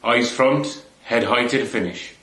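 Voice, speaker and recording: A man giving a measured, deliberate poetry recitation in a roomy indoor space. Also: mid-range voice, fairly bright tone. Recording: roomy echo on the voice.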